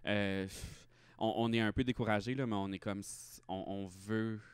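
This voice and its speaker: Quebec guy in his 30s, lowish clear voice, chatting frankly on a podcast, pretty emphatic. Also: fairly even intonation, normal speed, dry recording. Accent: Quebec French. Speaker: podcast guest, drag performer and hospital respiratory therapist. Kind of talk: casual conversational interview.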